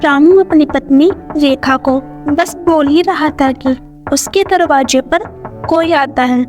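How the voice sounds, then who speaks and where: A woman's voice: high-pitched, slow, darkish tone. A woman narrating a scripted moral story as a storyteller. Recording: music under the voice.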